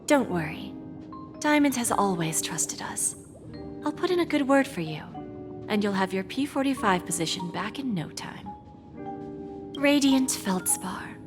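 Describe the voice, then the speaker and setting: A woman voicing a poised, shrewd female pawnshop boss in a video game, deliberate and teasingly courteous. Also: medium pitch, lively intonation, in a large hall, lightly acted. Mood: sly, indulgent. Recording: background music under the voice.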